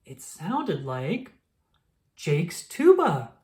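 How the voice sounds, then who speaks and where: A woman reading a children's picture book aloud in an expressive storytelling manner. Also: on the low side, drawn-out words. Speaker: storybook reader.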